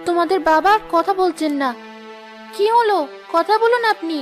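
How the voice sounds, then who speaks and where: A woman playing a young cartoon widow with an extremely high voice. She sounds plaintive, dramatic and proud in her sorrow, with music clearly playing behind her. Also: moderate pace. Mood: alarmed, pleading. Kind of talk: Bengali moral-story cartoon voice acting.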